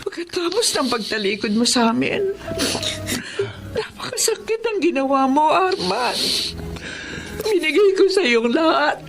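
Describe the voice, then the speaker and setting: A woman's voice: high voice, very animated, measured pace, noisy background, exaggerated voice. An actress playing a wounded, reproachful abandoned mother in a melodramatic radio drama, with a Filipino accent. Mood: tearful reproach. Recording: loud music under the voice.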